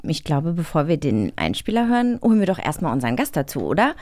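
A woman's voice: low-pitched, big pitch swings, fast talker, darkish tone, no echo, studio quality. A woman co-hosting a podcast in casual, playful conversation. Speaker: podcast co-host. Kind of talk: casual chat.

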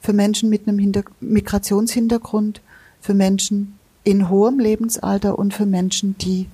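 A woman's voice: medium pitch, level tone, normal speed, mellow, slightly rough. A woman, a patient advisor explaining things in a podcast interview, with frequent restarts and examples.

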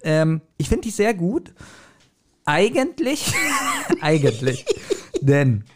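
A man's voice: fairly high pitch, huge pitch swings, slow pace. A man co-hosting a podcast in casual, joking banter. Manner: chatty, joking. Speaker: podcast co-host.

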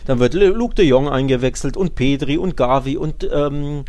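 A man's voice: medium pitch, moderate pace, darkish tone. A man co-hosting a football podcast, discussing the game in a casual, conversational way with frequent tag questions.

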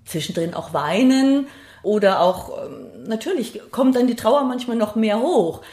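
A woman's voice: fairly high pitch, sing-song, a little slow, a little mellow. Middle-aged woman, roomy sound. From Bavaria, Germany. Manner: thoughtful, searching for words, seeks agreement with short tag questions. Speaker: interview guest, singer and grief singing-circle leader. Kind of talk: reflective conversational interview.

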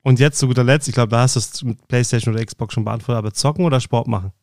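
A man co-hosts a podcast in an easygoing way, laughing along as he asks his questions. His pitch is ordinary for a man. The sound is dry and close, with no room sound. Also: racing, a little mellow, no room sound. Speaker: podcast co-host. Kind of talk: casual podcast conversation.

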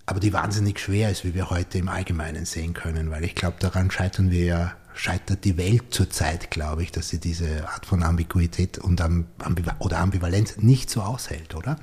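Male podcast host, low and mellow, reflective, muses aloud and checks in with his guest. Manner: thinks aloud, often ends with a questioning "oder?". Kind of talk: reflective conversational interview.

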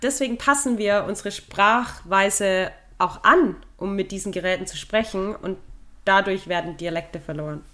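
A woman co-hosting a podcast, explaining things in a relaxed chat and laughing at her own jokes. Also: medium pitch, normal speed. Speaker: podcast co-host. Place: living in London.

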